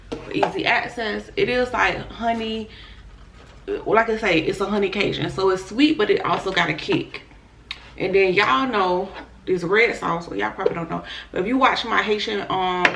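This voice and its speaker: A hoarse woman hosting an eating show, chatting casually and talking to viewers as y'all between bites. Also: mid-range voice, fairly bright tone, slight background noise. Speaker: mukbang host. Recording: echoey room.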